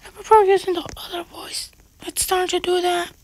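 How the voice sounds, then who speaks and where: A man using a pitch-shifting voice changer to make a squeaky high voice-changed persona, chatting slowly and offhand while playing a game. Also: level tone, rough recording.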